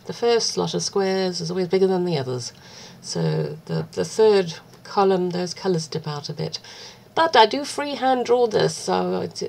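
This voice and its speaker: A woman speaks in a husky voice, roughened by a cold and touched with gravelly fry. She talks at a middling pitch in a chatty, self-deprecating way, drifting into little asides as she shows her paints. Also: darkish tone. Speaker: art vlogger. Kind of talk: casual craft tutorial chat.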